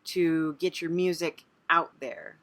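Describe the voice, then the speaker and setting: A woman's voice: deep voice, fairly even intonation, slow, fairly bright tone. A woman hosting a casual podcast interview in an agreeable, conversational way. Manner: agreeable, frequent short acknowledgements.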